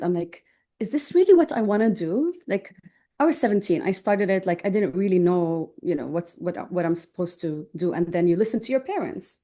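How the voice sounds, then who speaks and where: Mellow low-pitched woman in conversation. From Jordan. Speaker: interview guest, online business owner. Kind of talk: conversational interview answers.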